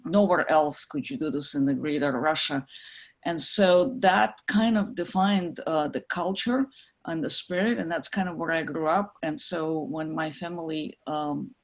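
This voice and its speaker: A woman's voice: low-pitched, measured pace. Middle-aged woman from ukraine, lots of ums and uhs, chatty interview guest. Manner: hesitant, many fillers and restarts. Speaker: guest, tech executive. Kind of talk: conversational interview.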